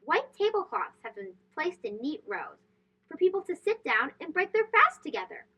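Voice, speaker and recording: A woman reading a picture book aloud to young children in an expressive, sing-song, emphatic way. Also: extremely high pitch, moderate pace, highs cut off. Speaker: children's read-aloud host. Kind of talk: animated storybook read-aloud for young children.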